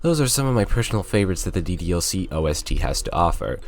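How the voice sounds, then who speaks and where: Low-voiced young man, lively intonation. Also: quick pace. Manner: informal, conversational, slangy. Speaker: video game reviewer. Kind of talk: casual scripted video essay narration. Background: music.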